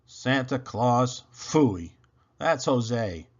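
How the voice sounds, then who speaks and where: Doubting kid for a storybook, acted by a man: sullen, mocking, unhurried, swinging pitch. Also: lively intonation, highs cut off. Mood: disappointed. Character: sleepy, disappointed, skeptical boy, mocking Santa.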